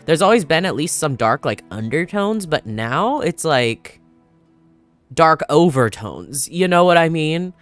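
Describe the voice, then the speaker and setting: A man's voice: a little high, expressive, a little slow, a bit bright. A man giving casual gameplay commentary, reacting aloud informally with sardonic asides. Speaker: gaming video host. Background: faint game music.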